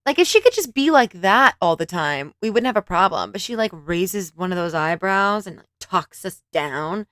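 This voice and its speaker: A woman's voice: medium pitch, expressive, normal speed, hardly any pauses, fairly bright tone, no echo, studio quality. A woman reacting to clips in a sarcastic, laughing commentary, swearing now and then. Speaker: commentary host.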